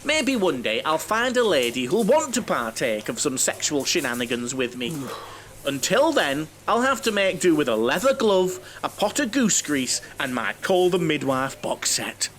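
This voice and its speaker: A man's voice: fairly high pitch, sing-song, normal speed, a bit bright, a little hiss or hum. An actor playing a naive, lonely, chatty middle-aged man with a Northern English accent in an audio play, blunt and earnest, given to comic oversharing. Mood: wistful, lewd.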